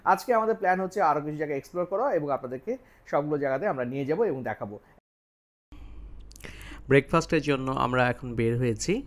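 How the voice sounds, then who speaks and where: A man working as a travel vlogger, talking casually to viewers in a halting way, thinking aloud as he explains. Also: fairly high pitch.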